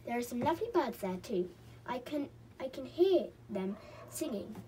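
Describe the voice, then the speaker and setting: Woman as a stubborn, high-voiced young girl. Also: sing-song, moderate pace, dark tone, recorded from a distance, slight background noise. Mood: longing. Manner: insistent, longing, exclaiming.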